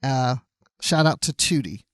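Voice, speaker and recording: A man's voice: medium pitch, lively intonation, a little slow, a bit bright, no room sound, studio quality. A man co-hosting a podcast in casual, chatty conversation, readily agreeing with his partner. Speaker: podcast co-host.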